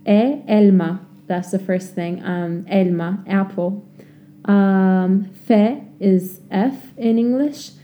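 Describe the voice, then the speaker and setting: Slow, dark-voiced Turkish woman teaching. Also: medium pitch. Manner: unscripted, many ums and restarts. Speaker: language teacher, podcast host. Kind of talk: casual podcast lesson.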